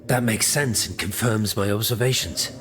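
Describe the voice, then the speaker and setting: A man voicing a grave, self-assured gentleman investigator in a video game, explaining formally and deliberately. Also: fairly low pitch, slight background noise, close to an everyday voice. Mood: grave, certain. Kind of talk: scripted video game cutscene dialogue.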